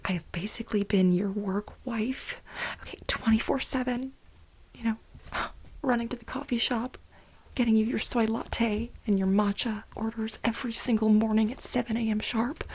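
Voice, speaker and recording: A woman playing an aggrieved, manic, overworked research assistant in a soft-spoken, whispered ASMR role play, with halting, dramatic complaints. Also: fairly high pitch, full character voice. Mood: fed up, indignant. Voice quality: breathy whisper.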